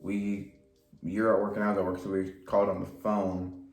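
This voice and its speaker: A young man chatting casually, pausing often and searching for his words. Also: fairly low pitch, little pitch movement, reverberant. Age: young adult. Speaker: partner of the vlogger. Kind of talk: casual chat.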